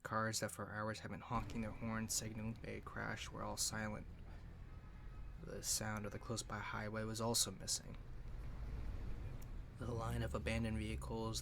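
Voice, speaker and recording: A man playing a weary young survivor narrating a reflective audio log, slow and halting, trailing into breathy murmurs. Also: on the low side. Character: weary young male survivor recording a log in an abandoned city. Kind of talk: dramatic first-person audio log narration.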